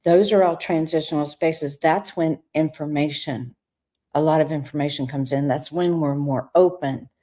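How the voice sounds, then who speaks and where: A woman's voice: low-pitched, steady tone, moderate pace, mellow. A woman hosting a podcast, talking in a reflective way about stillness and guiding the listener through ideas. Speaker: podcast host. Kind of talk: conversational spiritual talk.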